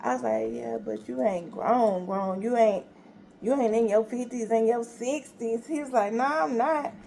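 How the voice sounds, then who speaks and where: A woman's voice: a little high, normal speed, gravelly, slight background noise. A woman casually telling a story, acting out both sides of a conversation with laughter and exasperation. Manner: animated retelling, acts out both sides with quoted dialogue.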